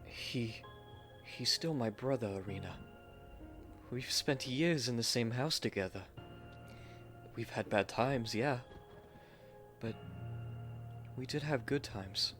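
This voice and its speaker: A man playing an earnest, tender gentleman newlywed in a romantic audio drama, soft-spoken and hesitant, with a mournful sincerity. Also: mid-range voice, slow, pauses often, faint music behind. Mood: wistful, earnest.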